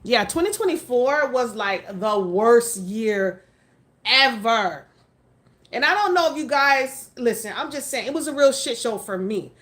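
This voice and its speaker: A woman's voice: a little high, animated, a little slow, fairly bright tone. A woman in her forties hosting a casual livestream, talking frankly and emphatically while answering viewer comments. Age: 40s. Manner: frank, mildly profane, emphatic. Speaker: livestream host.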